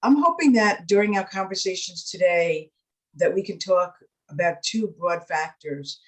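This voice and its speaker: A woman's voice: on the low side, expressive, moderate pace, poor audio. New lady, fifties, chatty panel intro on a video call, lots of ums. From New York City, Puerto Rican heritage. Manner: warm, personal, with frequent "um". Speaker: university provost, panelist.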